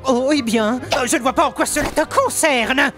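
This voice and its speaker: A woman's voice: sits high, normal speed, a bit bright, faint music behind. A woman voicing a pompous, touchy villain in a cartoon fandub, with a haughty way of talking. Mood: flustered, indignant.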